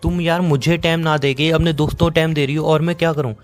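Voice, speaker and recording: Man acting a fast-talking, bitter young Indian boyfriend. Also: on the high side, steady tone, faint music behind, natural voice. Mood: resentful. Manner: sarcastic, dismissive, rapid-fire. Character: bitter, sarcastic young boyfriend fed up with his girlfriend. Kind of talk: acted phone-call romance drama.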